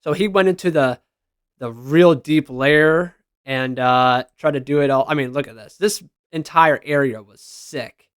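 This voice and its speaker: A man's voice: a little high, measured pace, smooth, dry recording, clean studio sound. A man giving casual, enthusiastic reaction commentary as a gaming video creator, with slangy exclamations.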